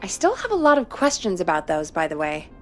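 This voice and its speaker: A woman playing a brisk, practical radio station producer in a video game. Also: fairly low pitch, sing-song, faint music behind. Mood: brisk, teasing.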